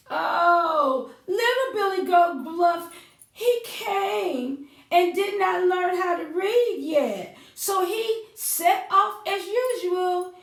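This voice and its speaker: A woman's voice: very high-pitched, animated, drawn-out words, vocal fry. A woman hosting a children's storytime, reading aloud and explaining things simply with questions for young listeners. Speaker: children's librarian storytime host. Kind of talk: children's storytime read-aloud and sing-along. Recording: echoey room.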